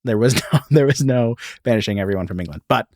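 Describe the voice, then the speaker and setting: Male podcast host, mid-pitched voice, casual explanatory storytelling, digressive asides, dry close recording. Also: fast, a little mellow, no echo. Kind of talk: casual explanatory storytelling about film and history.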